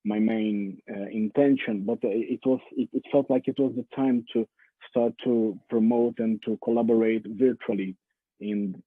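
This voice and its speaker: Man from brazil, husky voice, phone-like dry line, halting with fillers, panel guest. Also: lowish voice, steady tone, no echo. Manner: halting, many fillers and restarts. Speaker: guest artist. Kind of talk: panel discussion.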